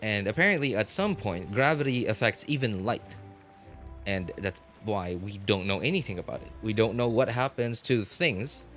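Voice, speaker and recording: A man livestreaming a game, rambling casually and thoughtfully, often halting and repeating his phrases as he works out a thought. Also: fairly low pitch, animated, a bit bright. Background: background music.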